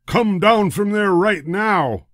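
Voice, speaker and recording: A man's voice: sits high, takes it slowly, a bit bright, no echo, clean studio sound, voice pushed up. A man voicing an irate, bossy, scolding trainmaster in a children's storybook. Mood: angry, hollering.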